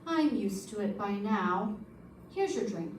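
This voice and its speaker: A woman's voice: mid-range voice, expressive, slow pace. A woman playing a composed, calculating wealthy widow in an old-time radio detective drama, poised and pointed. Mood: cool, composed. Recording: roomy, distant stage recording, faint noise.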